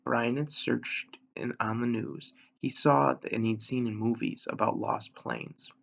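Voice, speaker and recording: A man with a gravelly voice reading a children's novel aloud, measured, with dramatic pauses and emphasis. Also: medium pitch, fairly even intonation, a bit bright. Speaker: elementary school reader, story narrator.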